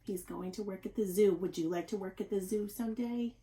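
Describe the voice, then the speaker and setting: A woman reading a picture book aloud to young children as a teacher, with expressive, deliberate emphasis and questioning asides. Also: mid-range voice.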